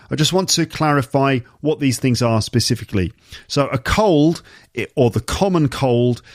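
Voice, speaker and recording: A man with a touch of a cold explains words to learners in a relaxed, conversational podcast monologue. His pitch sits in an ordinary middle range. The recording is close and dry, with almost no room sound. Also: no echo. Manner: chatty, explains words slowly and repeats key terms. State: has a slight cold. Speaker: English teacher and podcast host.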